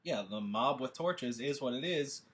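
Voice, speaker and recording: Male host, casual rambling monologue, animated and emphatic delivery, bright forward voice, mid pitch. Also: moderate pace. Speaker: host and scriptwriter introducing a table read. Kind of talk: informal rambling monologue to an audience.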